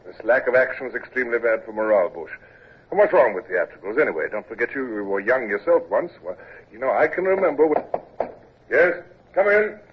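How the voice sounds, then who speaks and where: A man playing an impatient, brisk naval commodore who also narrates the story, in 1940s radio drama style. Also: mid-range voice, very animated, natural voice. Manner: brisk, impatient, commanding, given to stammered restarts. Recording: dull old radio transfer with faint hiss.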